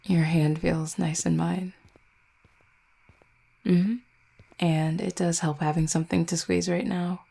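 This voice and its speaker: A woman playing an affectionate, gently teasing vampire girlfriend in an intimate roleplay audio, speaking tenderly and without hurry. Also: lowish voice, flat delivery, takes it slowly, vocal fry, pauses often, full character voice. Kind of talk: intimate roleplay audio, soft conversational acting.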